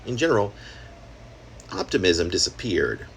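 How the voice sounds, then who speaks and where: Deep-voiced man reading his poetry aloud. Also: very animated, normal speed, husky. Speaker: poet reading own work. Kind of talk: spoken reading of experimental prose poem with asides.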